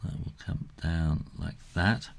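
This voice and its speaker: A middle-aged man giving a casual step-by-step drawing tutorial, unhurried and thinking aloud as he works. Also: very deep voice, fairly even intonation, creaky, slight background noise.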